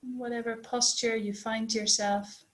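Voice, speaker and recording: A woman leading a guided body scan meditation as a teacher, speaking gently and unhurriedly with long pauses. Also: fairly high pitch, flat delivery.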